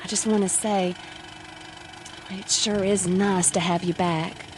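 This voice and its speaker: A shy, earnest country girlfriend played by a woman in a radio drama. She has a rural Southern accent and a slow, medium-pitched delivery, with clear background noise. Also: steady tone, close to an everyday voice. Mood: tender, apologetic. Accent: rural Southern American. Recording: loud engine-like noise behind.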